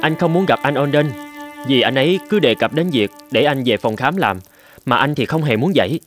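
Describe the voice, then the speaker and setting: A man voicing a mild, home-loving young husband in a cartoon drama, gently explaining himself. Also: mid-range voice, animated, a little fast, music in the background, lightly acted. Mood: earnest.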